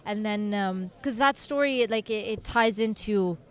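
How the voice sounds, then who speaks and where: A woman's voice: medium pitch, measured pace, smooth. A woman hosting an interview at an event, asking questions enthusiastically and with many fillers. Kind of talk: casual interview.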